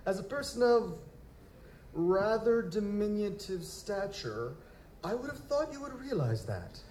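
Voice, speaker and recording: Man playing a high-voiced, stiff young lord, echoey. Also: sing-song, a little slow, darkish tone. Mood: defensive, stiff. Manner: formal, stiff, aristocratic diction. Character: formal, touchy young male nobleman, bereaved fiancé. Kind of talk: live audio drama acting. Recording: roomy, echoing, noisy live recording.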